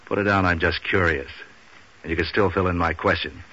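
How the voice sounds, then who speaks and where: An actor playing a street-wise, cynical hard-boiled hero with deadpan wisecracks in 1940s radio noir. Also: male voice, sits low, brisk. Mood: wry, tough. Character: Pat Novak, street-wise cynical male hard-boiled boat renter. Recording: muffled, hissy old radio recording.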